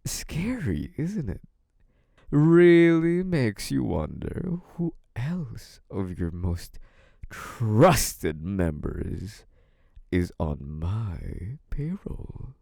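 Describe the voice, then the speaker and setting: A man's voice: lowish voice, sing-song, drawn-out words, gravelly, darkish tone, no room sound, studio quality, exaggerated voice. A man playing a smug, menacing, possessive young mafia boss in an intimate audio roleplay, taunting in a theatrical, mock-sweet way. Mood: sinister. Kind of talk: scripted audio roleplay, intimate monologue to one listener.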